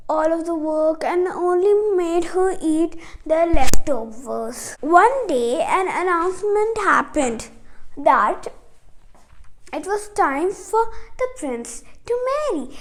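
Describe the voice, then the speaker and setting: A female storyteller with an extremely high, childlike voice tells a fairy tale in her own words. Her pitch moves up and down in a lively way and her pace is slow. She halts, repeats herself and slips into bits of dialogue. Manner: halting, repeats and stumbles over words, dramatizes dialogue. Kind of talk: retelling a fairy tale aloud from memory, unscripted.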